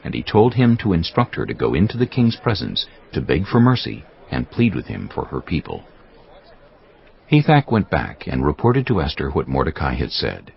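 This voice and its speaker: Very deep, gravelly male scripture narrator. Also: wildly swooping pitch, normal speed, muffled recording.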